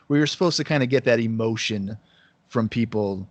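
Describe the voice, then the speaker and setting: A man co-hosting a podcast, adding agreeable, measured comments. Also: mid-range voice, no room sound. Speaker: podcast co-host.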